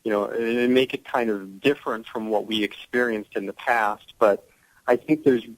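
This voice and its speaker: A man's voice: mid-range voice, fairly even intonation, moderate pace, no echo, poor audio. A man speaking as an actor guest in a conversational radio interview, explaining things earnestly.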